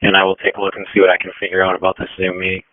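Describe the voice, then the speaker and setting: A man coordinating air operations over a police two-way radio, speaking procedurally with halting pauses. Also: deep voice, completely flat delivery, slight background noise. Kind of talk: radio dispatch traffic. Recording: narrowband, dull police radio transmission.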